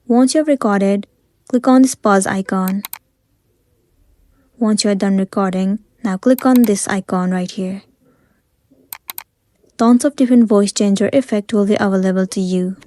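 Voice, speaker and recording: A woman's voice: mid-range voice, unhurried, pauses often, dark tone, no echo. A woman narrating a step-by-step tutorial in a plain-spoken, instructional way, as a scripted voice-over.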